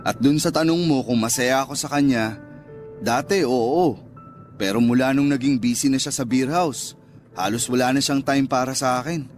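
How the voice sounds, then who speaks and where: An actor playing a smooth-talking, indulgent restaurant owner courting his lover in a radio drama, casual and coaxing. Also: mid-range voice, normal speed, lightly acted. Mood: indulgent, confiding. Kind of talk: Tagalog radio drama dialogue. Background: background music.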